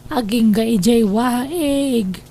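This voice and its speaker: A woman's voice: fairly high pitch, mellow, a little hiss or hum. A woman playing a frail dying old mother. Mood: frail, tender. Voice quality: gravelly, slow and weak. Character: frail dying elderly mother dividing inheritance. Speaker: voice actor. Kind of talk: Ilocano audio drama acting.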